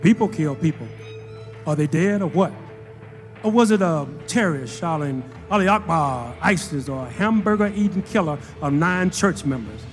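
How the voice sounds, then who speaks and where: A man's voice: fairly high pitch, expressive, normal speed, soft background music, natural voice. A man playing a bitterly sarcastic narrator delivering social criticism in an audio drama. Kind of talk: scripted audio drama monologue.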